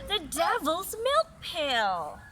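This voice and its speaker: A woman's voice: very high-pitched, huge pitch swings, extremely slow, pushed and bright, slight background noise, voice pushed up, full character voice, poor audio. A woman voicing a haughty, scornful apple branch in a storybook, with disdainful, emphatic stress.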